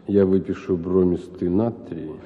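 An actor playing a calm family doctor in a radio play, with a measured, soothing bedside manner. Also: male voice, sits low. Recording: tinny, lo-fi with long reverb.

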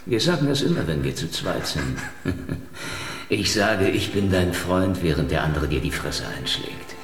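A man's voice: low voice, animated, normal speed. A man playing a cynical, wisecracking Russian detective in a radio drama, sarcastic and needling. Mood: mock friendly. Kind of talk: hard-boiled radio drama dialogue. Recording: slightly distant, music behind.

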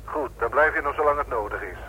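A man plays a stern police commissioner in a radio play. His voice is sharp and middle-pitched, his delivery fast, curt and sceptical, over faint noise. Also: lightly acted, low-quality recording. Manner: curt, official, grudging. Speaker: actor in a Dutch radio play. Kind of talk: radio drama acting.

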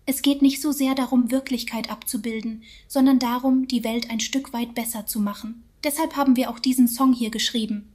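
A woman's voice: fairly high pitch, fairly even intonation, brisk, hardly any pauses, a little mellow, lightly acted. A woman playing a synthetic AI voice that is casually chummy, soothing and patronising in a radio drama. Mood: preachy. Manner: soothing, over-understanding, patronising. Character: chummy female synthetic AI voice, sweetly preachy. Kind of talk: radio drama acting, casual therapy-speak.

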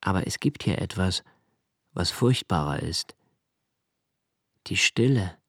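An actor playing an anxious, observant young poet narrating a radio play in a slow, hushed, intimate way, sometimes sinking to a near whisper. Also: male voice, low-pitched, lively intonation, husky, dry recording, clean studio sound, exaggerated voice. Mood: brooding. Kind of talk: literary radio drama reading.

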